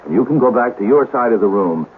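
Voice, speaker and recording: Male actor as breezy, wisecracking American doubter, 1970s radio drama; animated pitch, thin phone-like band. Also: fast, natural voice. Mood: dry, dismissive. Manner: wry, flippant, casual. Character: breezy, wisecracking male skeptic, ghost hunter's partner. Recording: noisy, narrow-band old radio broadcast.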